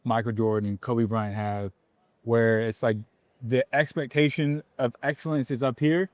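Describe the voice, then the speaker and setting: Male podcast host, mid-pitched and dry. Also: steady tone, measured pace, a little mellow, no room sound. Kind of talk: casual interview chat.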